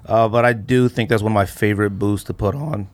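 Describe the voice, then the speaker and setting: A man with an American accent and a lowish voice hosts a casual podcast. He speaks conversationally, with plenty of slang, in relaxed back-and-forth banter. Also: fairly quick. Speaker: podcast host. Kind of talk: casual banter and questioning about sneakers.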